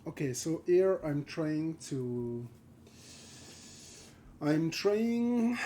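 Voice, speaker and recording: A man from France with a French accent, thinking aloud and chatting with viewers while he paints live, halting and searching for words. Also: a little high, dark tone, a little hiss or hum. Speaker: concept art streamer. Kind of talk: live art stream chat.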